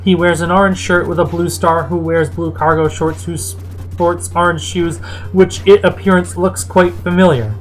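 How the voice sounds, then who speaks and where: Low-voiced woman narrating a story. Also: steady tone, moderate pace, rough recording. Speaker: narrator reading fan fiction aloud.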